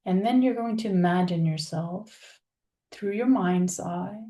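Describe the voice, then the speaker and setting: A woman leads a guided visualization at a very slow pace, leaving space between her phrases. Her voice is mellow and fairly low, and her delivery is soothing and gentle, like someone settling a listener into stillness. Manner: soothing, unhurried, with long pauses between phrases. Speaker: guided meditation leader. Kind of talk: guided meditation, soft spoken visualization.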